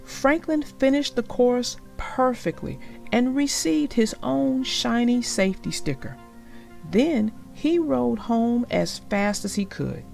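A woman narrating a children's story in an expressive read-aloud style, speaking directly to young listeners. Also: fairly high pitch, slow pace, gravelly, faint music behind. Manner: addresses young listeners directly, explaining the lesson.

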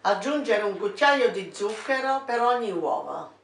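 Older Italian woman, slow instructions. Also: medium pitch, expressive, creaky. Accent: Abruzzo Italian. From Italy. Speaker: home cook. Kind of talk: cooking demonstration. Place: home kitchen, indoors. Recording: echoey kitchen, distant mic.

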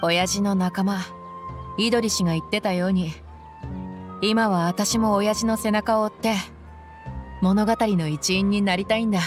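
An actress playing a tough, loyal young desert mercenary woman in a game, with rough tomboyish speech and a wistful streak. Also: mid-range voice, steady tone, measured pace, pauses often, natural voice. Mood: resolved. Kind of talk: dramatic anime-style game dialogue. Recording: music behind the voice. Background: background game music.

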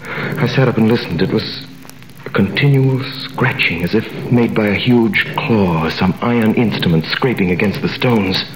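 A man acting out a brooding young prisoner in an old radio play, speaking with intense, dramatic weight. His voice sits fairly low. The vintage broadcast recording sounds roomy, with a faint hiss. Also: normal speed, close to an everyday voice. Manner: intense, dramatic first-person telling.

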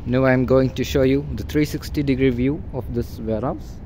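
A man's voice: mid-range voice, fairly even intonation, moderate pace, dark tone, slight background noise. Man giving a phone warehouse tour. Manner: plain, repetitive pointing-out. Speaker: real estate agent. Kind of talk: walkthrough property tour. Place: large empty indoor warehouse. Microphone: phone held by the filming person.

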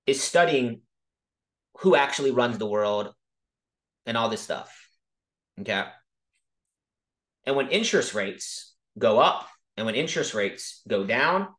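A man casually teaching about markets over a video call, talking quickly and emphatically and often checking in with "okay?". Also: mid-range voice, fairly bright tone. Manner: fast, emphatic, frequent "okay?" check-ins. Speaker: crypto educator hosting a Zoom call. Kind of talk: casual screen-share teaching.

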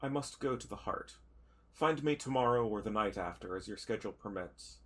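A man voicing a cryptic prophet of a dark god in a tabletop game, formal, oracular and terse. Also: lowish voice, a little fast. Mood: solemn.

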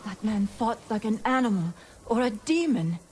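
An actress playing a shaken, frightened village wife in a dramatic video game. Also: female voice, a little high, expressive, moderate pace, a little mellow, a little hiss or hum, lightly acted.

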